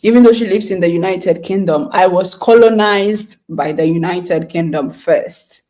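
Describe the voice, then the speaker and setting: A woman's voice: fairly low pitch, normal speed, mellow. A woman in her thirties from Ghana, co-hosting a casual chat with her sister, teasing her and restarting her sentences often. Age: 30s. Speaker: podcast co-host.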